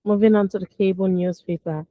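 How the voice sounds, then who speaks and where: A Nigerian woman co-hosting a radio newspaper review, reading headlines briskly and adding short remarks. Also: mid-range voice, fairly even intonation, narrow, tinny audio. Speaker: radio news review co-host. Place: radio studio.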